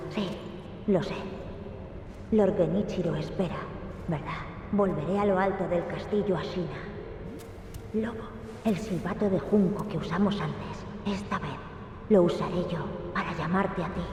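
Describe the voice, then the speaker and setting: A woman's voice: on the low side, unhurried, long pauses, darkish tone, slight background noise, lightly acted. Young noble boy played by a woman: solemn, resolute, formal speech, very echoey with long reverb. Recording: cavernous echo with long reverb.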